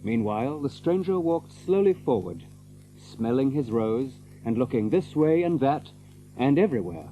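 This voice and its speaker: An actor serving as the measured storyteller of an old legend in an audio drama. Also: male voice, medium pitch, big pitch swings, dark tone, slight background noise, natural voice, low-quality recording. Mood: measured storytelling. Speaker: narrator.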